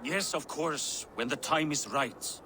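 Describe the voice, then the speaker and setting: A man playing an older Viking king and father, weary and guarded, speaking in a measured, stately way in a game. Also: on the high side, animated, noisy background. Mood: grave, doubtful. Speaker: clan king. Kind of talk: cinematic video game acting.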